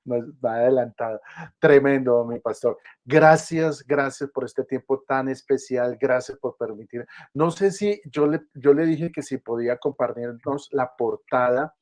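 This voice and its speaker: Male interview host on a video call, effusive, halting delivery. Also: mid-range voice, darkish tone. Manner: effusive, halting with repeated words.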